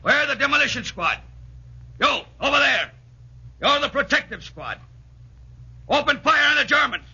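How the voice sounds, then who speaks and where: An actor playing a tough, sarcastic Irish commando sergeant major in a 1940s radio drama, with a rough, commanding voice. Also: male voice, very high-pitched, big pitch swings, normal speed, long pauses, pushed and bright, full character voice. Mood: shouted instruction. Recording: dull vintage 1940s radio recording.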